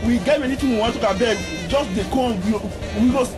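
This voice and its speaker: A man playing a loud, pleading caller with a Nigerian Pidgin accent who keeps repeating himself insistently. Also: very high-pitched, quick pace, music in the background.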